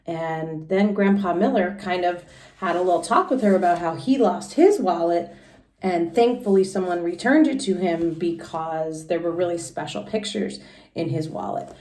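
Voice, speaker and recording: A woman's voice: fairly low pitch, normal speed, mellow, far from the mic. A woman teacher reading a children's story aloud and recapping it casually, explaining simply and expressively for young listeners. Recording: echoey room sound.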